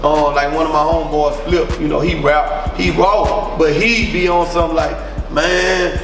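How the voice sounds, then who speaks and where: A deep-voiced rapper from Louisiana with a Southern accent, talking loosely in an interview and leaning on filler words. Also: male voice, steady tone, moderate pace. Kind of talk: casual interview. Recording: roomy echo from far off with music.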